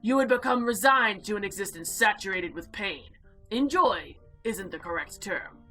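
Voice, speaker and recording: An imperious female eldritch being of the void, voiced by a woman for a visual novel. She speaks with formal, haughty deliberation and a sharp, bright edge. Faint music plays behind her. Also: mid-range voice, lively intonation, moderate pace, voice pushed down. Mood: disdainful. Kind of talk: visual novel character voice-over.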